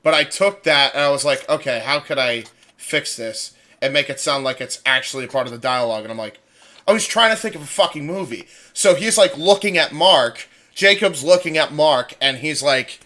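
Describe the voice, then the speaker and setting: A man's voice: on the high side, animated, normal speed, bright, forceful tone. A man hosting a livestream, explaining his editing in an informal, rambling, stop-start way. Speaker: livestream host and audio creator. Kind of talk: casual behind-the-scenes commentary.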